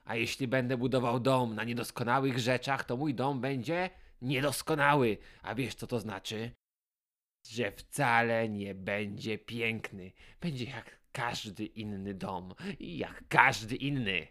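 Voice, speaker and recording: A man voicing an anxious, self-pitying perfectionist crocodile builder in a children's audio fairy tale, theatrical and halting. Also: medium pitch, a bit bright, lightly acted. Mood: fretful.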